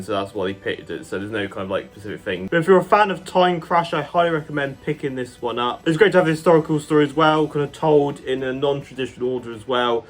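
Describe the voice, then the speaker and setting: A man giving a casual, enthusiastic review to his viewers as a channel host, chatty and loosely phrased with lots of "kind of" and "like". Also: medium pitch.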